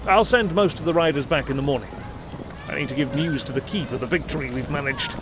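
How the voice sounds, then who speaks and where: A man's voice: on the high side, quick pace, background noise, close to an everyday voice. A man playing a dutiful cavalry captain of fantasy knights in an audio drama, formal, courteous and military in manner. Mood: earnest, courteous.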